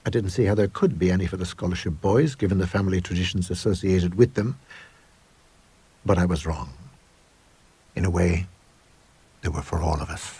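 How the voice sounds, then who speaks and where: A man reading a first-person ghost story in a measured, grave, dramatic storytelling manner. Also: sits low, mellow, husky. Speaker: story reader.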